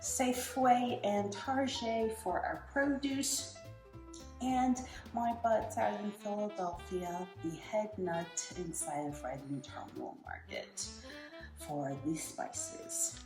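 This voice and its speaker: Casting: American woman, 40s, casual cooking host, slow halting delivery, roomy echo, music behind. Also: mid-range voice. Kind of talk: casual home cooking demonstration. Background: music, sizzling food and stirring.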